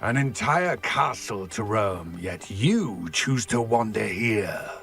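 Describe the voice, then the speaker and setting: Stern, slow-speaking male centaur. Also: lowish voice, animated, fairly bright tone, soft background music, exaggerated voice. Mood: stern, accusing. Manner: formal, accusing. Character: stern, suspicious male centaur guarding the forest. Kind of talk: video game cinematic acting.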